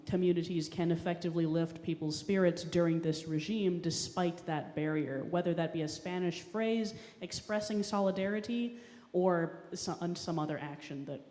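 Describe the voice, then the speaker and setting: A woman from the audience asks a question in an earnest, measured way. Her voice is low and mellow. The room echoes around her, and a long hall reverb follows her words. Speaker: audience member asking a question. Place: church hall.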